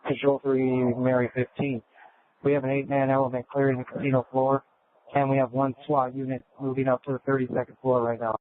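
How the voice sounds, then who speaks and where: Male officer, medium pitch, even terse delivery, phone-like police radio. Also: brisk. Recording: noisy, narrow police scanner radio.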